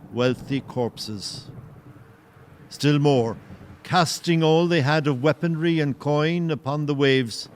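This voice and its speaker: A man narrating an audio play as a solemn storyteller, in a poetic, literary and measured style. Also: mid-range voice, slight background noise, lightly acted. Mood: grave. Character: solemn storyteller of a historical shipwreck tale.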